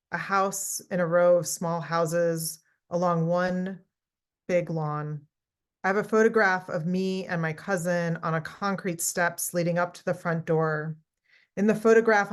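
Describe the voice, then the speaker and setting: Woman author, slightly low voice, halting thoughtful talk, reads from her book, first language Hebrew. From Israel. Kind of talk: casual conversation and book reading.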